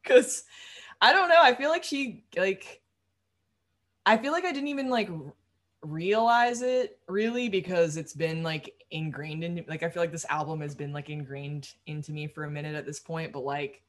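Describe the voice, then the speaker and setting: Low-voiced young woman, rambling and chatty. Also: expressive, normal speed. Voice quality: low-register voice. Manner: rambling, frequent "like" fillers, laughs easily. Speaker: musician guest. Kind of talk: casual interview chat.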